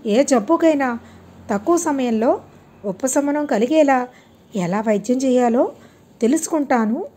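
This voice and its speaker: A woman's voice: sits high, lively intonation, a little slow, dark tone. A woman voicing a humble, resolute young male physician in an audiobook story, respectful and earnest.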